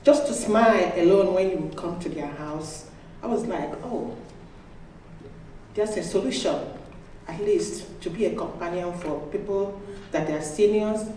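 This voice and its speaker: A woman from Nigeria with a Nigerian accent, a registered nurse giving a friendly personal pitch about her business. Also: a little slow, mellow, a little hiss or hum. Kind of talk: personal pitch to a live audience. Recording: echoey hall, distant mic.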